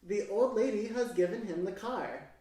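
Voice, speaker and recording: A woman reading a children's story aloud in an expressive, measured, theatrical way. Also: mid-range voice. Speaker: storybook narrator. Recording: very echoey room, distant mic, music. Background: piano music.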